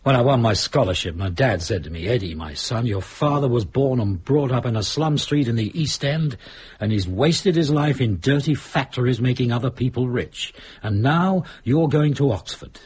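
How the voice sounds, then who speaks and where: An actor playing an earnest, guilt-ridden, defensive middle-aged Englishman with a rough, husky voice in a British drama. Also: medium pitch, lively intonation, normal speed, darkish tone. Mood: reflective. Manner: earnest, intense. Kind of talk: British radio drama acting.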